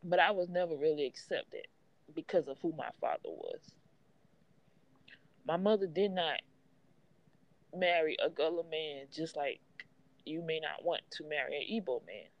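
A woman from the United States, co-hosting a podcast, speaks in a heartfelt, halting way. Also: low-pitched. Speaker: podcast co-host. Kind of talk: casual conversation. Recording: dry, dull lo-fi audio.

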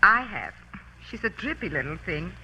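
A woman's voice: lowish voice, big pitch swings, normal speed, bright, forceful tone, full character voice. An actress playing a languid, teasing, worldly woman with a bored, flirtatious manner in 1940s radio drama. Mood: gossipy. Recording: faintly noisy old radio recording.

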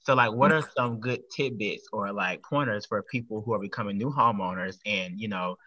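A man's voice: fairly low pitch, normal speed, sharp tone, no room sound, lo-fi sound. A man in his twenties hosting a podcast, asking casual, rambling questions. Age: 20s. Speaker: podcast host.

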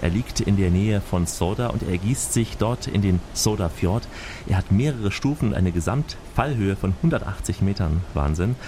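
A man with a very low voice reports on a trip for a radio travel show. He talks in a lively, chatty way, with playful asides. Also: moderate pace, a little hiss or hum. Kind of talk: radio travel report, voicing over interviews.

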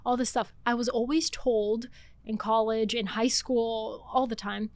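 A woman's voice: a little high, dry recording. A woman from Bulgaria, in her thirties, talking animatedly as a guest author in a casual podcast conversation. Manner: animated, fast-talking, laughs often.

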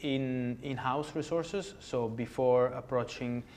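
A man's voice: medium pitch, takes it slowly, darkish tone, a little hiss or hum. Man. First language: Italian. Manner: hesitant, many fillers and restarts in a second language. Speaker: podcast guest. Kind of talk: conversational interview answers.

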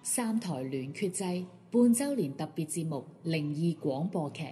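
A female announcer reads a scripted show introduction in a Hong Kong Cantonese accent. Her voice is fairly low and dark, with music playing behind it. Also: expressive, measured pace. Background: background music.

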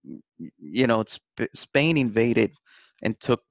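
Man, gravelly, hesitant guest, dry recording. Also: medium pitch, sing-song, measured pace, no room sound. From Puerto Rico. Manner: hesitant, many fillers and repeated words. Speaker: podcast guest. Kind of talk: casual conversation. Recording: dull, dry lo-fi sound.

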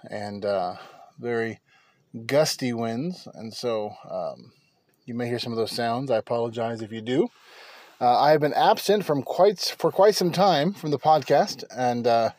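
A man hosting a Bible teaching podcast from inside his car, talking in a halting way with many fillers and restarts. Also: medium pitch, darkish tone, dry recording. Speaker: podcast host and preacher.

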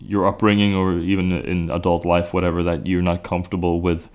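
A man with a Swedish accent interviewing a musician in English, relaxed and quick to laugh, with frequent restarts. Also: low-pitched. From Skåne, Sweden. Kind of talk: casual conversational interview.

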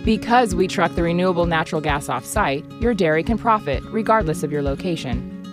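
A woman reading a scripted advertisement in a smooth sales delivery. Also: on the low side, animated, brisk. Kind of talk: radio ad read. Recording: ad with loud music bed. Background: guitar music.

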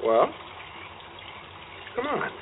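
An actor playing an escaped madman and killer in an old-time radio suspense drama, calm, brooding and menacing, in short wistful phrases. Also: very slow, phone-quality sound. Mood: wistful, unsettling calm. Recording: thin band-limited old radio recording, faint noise.

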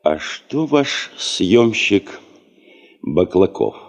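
A self-assured middle-aged male boss of a field expedition in a Soviet radio play. His voice sits low and moves widely in pitch. He probes and insinuates with slow, dry irony. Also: sing-song, highs cut off, exaggerated voice. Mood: deliberate, insistent. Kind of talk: Soviet radio drama acting.

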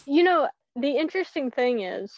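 A woman's voice: high-pitched, sing-song, moderate pace, dry recording. Wry young woman with a Louisiana lilt. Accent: light Louisiana. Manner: wry, teasing side comments. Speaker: podcast co-host. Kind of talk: casual chat. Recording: dry, slightly muffled recording.

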